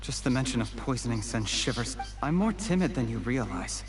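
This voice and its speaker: A man plays a meek, quietly calculating game character. His voice sits at an ordinary male pitch, and music is clearly audible behind it. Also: very animated, fairly quick, close to an everyday voice. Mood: meek, resigned. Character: timid-sounding male drinking companion, quietly calculating. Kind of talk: video game voice acting.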